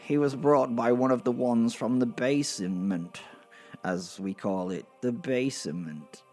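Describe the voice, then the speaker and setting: A man's voice: mid-range voice, a little slow, a little mellow, close to an everyday voice. A man playing a nervous, self-important gate attendant of heaven in a comic fantasy audio drama, with a hesitant, stammering delivery. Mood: flustered, wary. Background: music.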